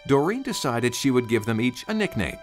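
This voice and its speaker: A man's voice: mid-range voice, sing-song, brisk. A man narrating a scripted storybook tale in a dramatic storytelling style. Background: music under the narration.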